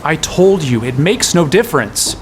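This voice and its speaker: A man's voice: fairly high pitch, big pitch swings, normal speed, slight background noise. An actor playing an exasperated, sarcastic young hero who narrates his own quest in a fantasy audio drama. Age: young adult. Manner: wry, exasperated. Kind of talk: first-person audio drama narration and dialogue.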